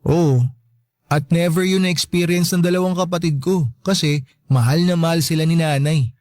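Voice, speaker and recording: A man's voice: on the high side, steady tone, moderate pace, a little mellow, dry recording, clean studio sound, close to an everyday voice. A man with a Filipino accent playing a young working man, a hurt but resilient son, talking casually in a radio drama. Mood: hurt, resigned. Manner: casual, conversational. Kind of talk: Tagalog radio drama dialogue.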